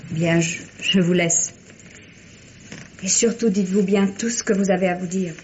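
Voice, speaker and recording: A woman's voice: fairly low pitch, fairly even intonation, moderate pace, close to an everyday voice. An actress playing a gracious, composed lady hostess in a vintage French radio mystery, polite and well-spoken. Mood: courteous. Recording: dull vintage radio recording, faint hiss.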